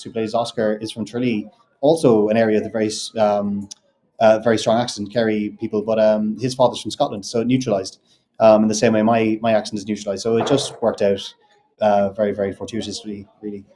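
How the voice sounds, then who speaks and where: Thoughtful Irish man, mellow voice. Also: on the low side, flat delivery. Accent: neutral Irish. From Ireland. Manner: thoughtful, measured, circling back to rephrase. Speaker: film director guest. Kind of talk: reflective interview answers.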